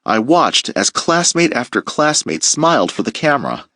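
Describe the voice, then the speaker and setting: Expressive man reading a storybook aloud. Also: medium pitch, moderate pace, no echo, studio quality. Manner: expressive storytelling, playful emphasis. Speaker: teacher. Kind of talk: reading a picture book aloud to children.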